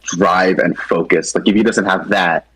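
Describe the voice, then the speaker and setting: A man's voice: low-pitched, steady tone, measured pace, a bit bright, husky, no room sound, low-quality recording. A man casually discussing fictional characters as a co-presenter, informal and often rephrasing his points.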